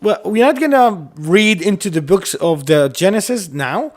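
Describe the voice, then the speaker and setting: This man speaks English with an Arabic accent in a fairly high voice. He talks haltingly, full of uhs, likes and restarts, as he co-hosts a casual chat. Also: lively intonation. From Iraq. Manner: halting, many fillers and restarts. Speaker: podcast co-host. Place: lives in the United States.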